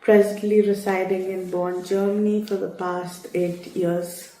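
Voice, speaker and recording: Slow, mellow Indian-accented woman. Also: medium pitch, flat delivery. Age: 30s. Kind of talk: personal story told to camera. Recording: echoey room.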